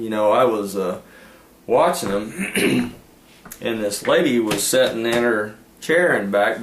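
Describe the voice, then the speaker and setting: A man telling fishing stories in a casual, rambling, folksy way, often trailing into "anyway" and "uh". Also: lowish voice. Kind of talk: casual storytelling. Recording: echoey room with faint ambience.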